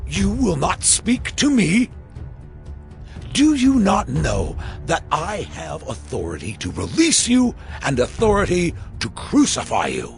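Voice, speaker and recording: A man's voice: on the high side, huge pitch swings, measured pace, creaky, faint music behind, exaggerated voice. A man acting an imperious, exasperated Roman governor in a declamatory scripture audio drama. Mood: stern, imperious. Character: Roman governor, commanding, exasperated, judging a prisoner.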